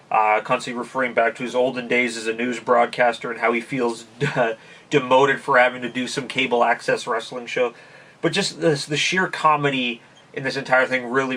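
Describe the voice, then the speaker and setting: A chatty man from Canada talks through a casual review vlog, full of fillers and quick laughs. His voice sits in a middle register. He sounds a little far from the microphone. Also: mid-range voice, sharp tone. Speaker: YouTube channel host. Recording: voice somewhat distant from mic.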